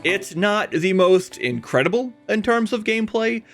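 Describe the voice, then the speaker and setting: A man's voice: high-pitched, expressive, normal speed, music in the background. A man delivering a scripted video essay about games, with emphatic, opinionated, conversational phrasing and casual asides.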